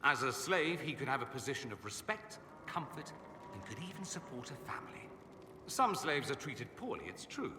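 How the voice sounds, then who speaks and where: An actor playing an urbane, witty, self-assured aristocrat mage in a video game, articulate, theatrical and sardonic. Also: male voice, mid-range voice, big pitch swings, normal speed, natural voice. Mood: earnest, defensive. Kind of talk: video game dialogue acting. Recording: echoey hall with ambient noise.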